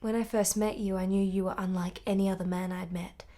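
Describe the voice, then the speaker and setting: A woman playing a candid young wife who yearns for romance in a radio drama, speaking in measured, articulate, intense phrases. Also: mid-range voice, level tone, warm tone, natural voice. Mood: yearning.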